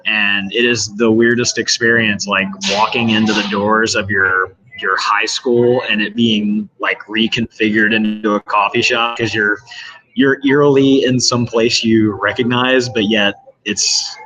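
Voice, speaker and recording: A man's voice: fairly low pitch, flat delivery, measured pace. A man co-hosting over a video call, chatty and rambling, laughing easily. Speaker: co-host. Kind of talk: casual chat. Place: coffee shop. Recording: noisy coffee shop crowd behind.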